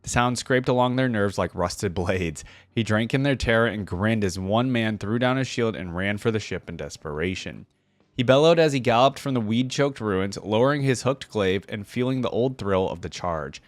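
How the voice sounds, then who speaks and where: A man hosting a reaction, moving between casual commentary and expressive reading of fantasy stories aloud. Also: lowish voice, moderate pace, no echo. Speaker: online reaction host.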